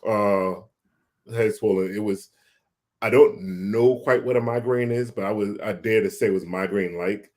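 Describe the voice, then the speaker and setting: Low-voiced man telling a personal story. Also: a little mellow. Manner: halting, repeats words as they think aloud. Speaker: guest sharing a personal health story. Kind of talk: casual conversational storytelling.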